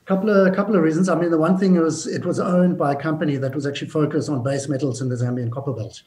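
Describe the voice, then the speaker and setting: A man's voice: fairly high pitch, fairly even intonation, fast talker, a little mellow. A man, a mining company executive, answering interview questions in a businesslike way and often restarting his phrases.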